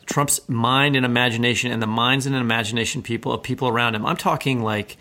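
Male literary podcast host, medium pitch, talking and reading aloud. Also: steady tone, fast, sharp tone.

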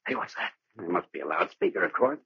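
An actor playing an uneasy, hesitant sidekick detective with slangy talk in a 1940s radio drama. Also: male voice, low-pitched, very animated, fast talker, gravelly, background noise, full character voice. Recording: dull old-time radio recording.

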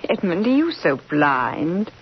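A woman's voice: on the low side, big pitch swings, slow pace, breathy edge, exaggerated voice. An actress playing a poised, genteel lady and sweetheart in old-time radio drama style. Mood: urgent, pleading. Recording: muffled, hissy vintage radio recording.

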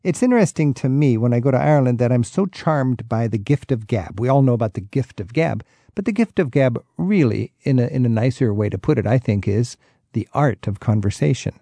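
A man hosting a radio travel program, curious and chatty as he questions his guest. His voice is mellow and gravelly with some huskiness, recorded close and dry with soft guitar music behind him. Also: mid-range voice, animated, brisk, no echo. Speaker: radio travel show host. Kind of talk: radio interview with scripted intro.

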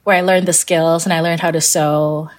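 A woman's voice: deep voice, level tone, moderate pace. Thoughtful young woman from Malaysia. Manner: thoughtful, many fillers and restarts. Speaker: fashion designer guest. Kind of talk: casual interview answers.

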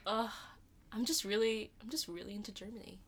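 A woman's voice: mid-range voice, brisk, slightly rough. A young woman, co-hosting a podcast in rambling casual chat with many hesitant "um" fillers. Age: young adult. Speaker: podcast co-host.

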